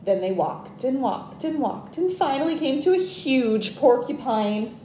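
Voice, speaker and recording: A young woman reads a picture book to children with animated, swooping intonation. Her voice is high, and she takes her time. There is some noise in the roomy sound. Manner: animated, deliberate. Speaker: storyteller reading to children. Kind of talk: expressive children's picture-book read-aloud. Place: small plain indoor room. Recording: echoey room with background noise.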